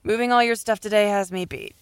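An actress plays a young woman who is the loyal, teasing best friend in an audio drama. Her voice is average in pitch, and she speaks casually and frankly, with open affection. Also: steady tone, quick pace, slight background noise, natural voice. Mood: playful, tired. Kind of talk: naturalistic audio drama acting.

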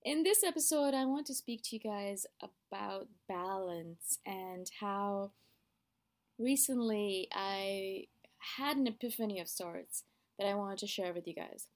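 A woman's voice: mid-range voice, a little slow. Chatty woman hosting a podcast. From Canada. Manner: chatty, confiding, often says "you guys". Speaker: podcast host. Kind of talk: casual reflective podcast monologue.

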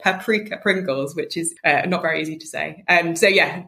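Woman, late 30s, from mid Wales, deep for a female voice, crisp bright tone, chatty podcast guest, informal. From rural mid Wales. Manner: chatty, informal, quick to laugh. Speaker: interview guest, charity founder. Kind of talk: casual podcast chat.

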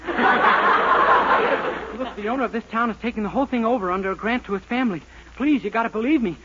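An American man playing an earnest, naive, excitable young man in a 1940s radio sitcom, breathless and pleading. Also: high-pitched, quick pace, lightly acted. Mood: urgent, pleading. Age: young adult. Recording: noisy muffled 1940s radio recording.

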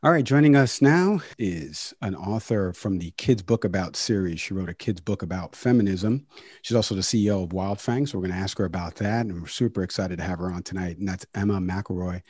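A man's voice: low voice, fairly quick, a little mellow, no echo, clean studio sound. A man hosting a podcast in casual, friendly chat. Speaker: podcast host.

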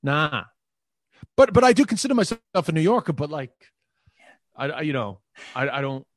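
New york guy, kinda high voice, rambles and restarts a lot, dead dry sound. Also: big pitch swings, moderate pace, no room sound. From New York, Queens. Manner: rambling, repeats words and restarts. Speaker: comedian guest. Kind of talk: casual interview chat.

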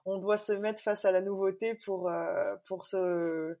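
Brief: woman podcast host, warm mellow tone, hesitant with lots of fillers. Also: mid-range voice, steady tone, a little slow. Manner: hesitant, many fillers, friendly laughs. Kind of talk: interview.

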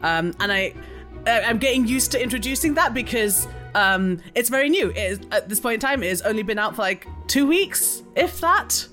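A woman's voice: a little high, animated, normal speed, a bit bright, faint music behind. A woman introducing herself casually as a player at a tabletop game. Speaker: player, voice actor and show host.

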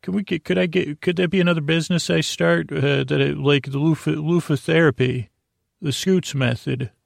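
Creaky-voiced man rambling haltingly. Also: a little high, steady tone, no room sound, studio quality. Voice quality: gravelly, creaky. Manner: hesitant, halting, stammering; meandering, whimsical. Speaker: podcast host. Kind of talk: rambling solo banter monologue.

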